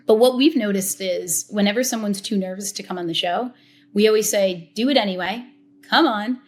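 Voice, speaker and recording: Chatty woman guest, animated. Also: medium pitch, brisk. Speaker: podcast guest, tequila educator. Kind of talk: casual interview talk.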